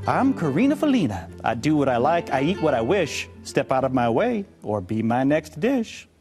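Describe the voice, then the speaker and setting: A man's voice: mid-range voice, sing-song, normal speed, darkish tone, faint music behind. A man voicing a greedy, smug female cat in a children's storybook, sing-song and dangerously sweet. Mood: smug, boastful.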